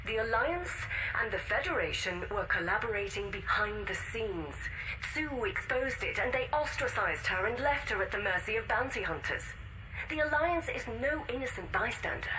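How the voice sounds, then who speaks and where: A woman playing a grave, wronged explorer in a sci-fi game, solemnly and deliberately delivering an accusatory dramatic audio log. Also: on the high side, expressive, sharp tone, a little hiss or hum, low-quality recording. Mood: accusing, urgent.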